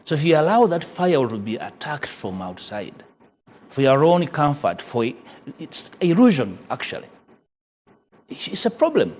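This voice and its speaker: A Ugandan man whose first language is Luganda, a member of parliament arguing in a TV panel debate, measured and emphatic. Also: on the high side, sing-song, a little mellow. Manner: measured, emphatic, rising on key points.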